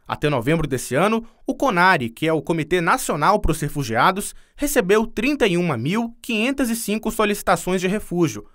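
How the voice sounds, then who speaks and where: Lively high-voiced male news reporter. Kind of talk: scripted news narration.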